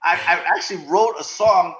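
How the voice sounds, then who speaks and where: A man in his forties from Zaire, raised in the United States and Zimbabwe, chatting casually as an interview guest, telling stories in an animated, halting way with repeated words. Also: high voice, dull audio, a crowd in the background. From born in Zaire, raised in the United States and Zimbabwe. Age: 40s. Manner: animated, halting storytelling with repeated words and frequent check-in tags. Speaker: podcast guest. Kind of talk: casual anecdotal interview chat.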